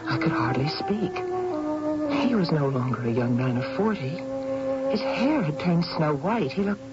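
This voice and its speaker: An actress playing an estranged, composed, concerned wife, telling her story in deliberate, dramatic radio style. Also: low voice, big pitch swings. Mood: grave, reflective. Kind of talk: radio drama acting and first-person narration. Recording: dull old radio recording with loud music.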